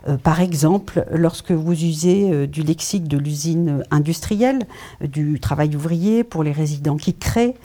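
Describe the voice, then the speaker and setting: A woman interviewing an author, reading lyrical prepared passages and asking measured questions with small hesitations. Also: low voice, warm tone. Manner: measured, reads lyrical prose then asks with hesitations.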